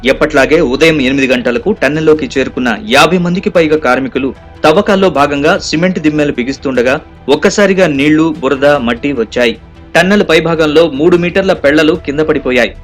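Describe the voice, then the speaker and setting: Guy doing formal urgent news voiceover, really quick, faint music behind. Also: fairly high pitch. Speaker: news reporter doing voice-over. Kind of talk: scripted news narration.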